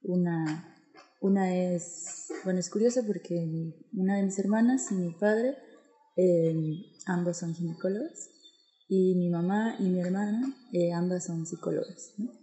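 In a very echoey room, a woman with a central Mexican accent speaks as an interview guest. Her voice is on the low side and dark in tone. She answers conversationally, often pausing to search for words. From State of Mexico, Mexico. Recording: very echoey, reverberant room sound.

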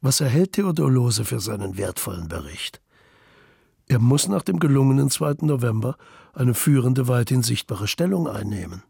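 Gravelly, raspy man narrating radio drama. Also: mid-range voice, expressive, normal speed, warm tone.

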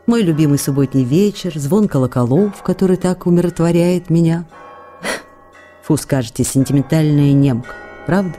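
An actress playing a lively, affectionate young actress who reads her letters in a chatty, coquettish way. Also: low voice, normal speed, faint music behind, lightly acted. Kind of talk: acted reading of letters in a radio play.

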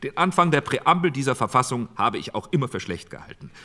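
A man's voice: medium pitch, sing-song, fast talker, bright, forceful tone. An actor playing a formal, argumentative post-war committee chairman in a radio drama. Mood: insistent, critical. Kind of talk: radio drama re-enactment of a parliamentary debate.

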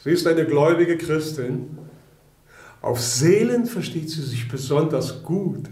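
An actor playing a sardonic, mockingly courteous prison official in a German radio play, in a roomy-sounding recording. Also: male voice, a little high, animated, unhurried, a little mellow.

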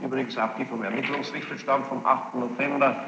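A man questioning a witness as a judge in formal courtroom style, insistent and deliberate, repeating answers back. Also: medium pitch, fairly even intonation. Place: large echoey courtroom, archive tape. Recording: muffled old tape, echoey hall, hiss.

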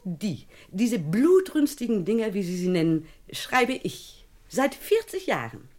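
An actress playing a scatterbrained, unflappable elderly lady novelist, chatty, brisk and breezily unconcerned, in a comic crime radio play. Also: mid-range voice, very animated, darkish tone. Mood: proud, dismissive. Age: older.

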